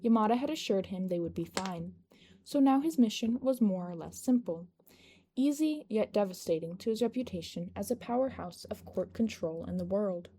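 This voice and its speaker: Mellow woman narrating fiction. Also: medium pitch, animated, moderate pace. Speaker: podfic narrator. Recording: noticeable background noise.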